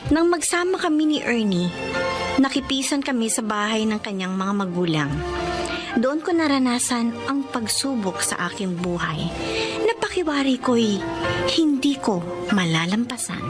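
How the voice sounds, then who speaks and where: A woman's voice: a little high, lively intonation, normal speed, over music, lightly acted. An actress playing a plaintive young wife bullied by her in-law, in a Filipino radio drama. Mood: sorrowful narrating. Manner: plaintive, pleading.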